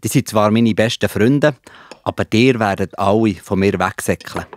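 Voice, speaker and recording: A man with a Swiss German accent playing a caring, solemn teacher in a children's Bible audio play, calm and earnest. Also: lowish voice, moderate pace, a little hiss or hum, natural voice.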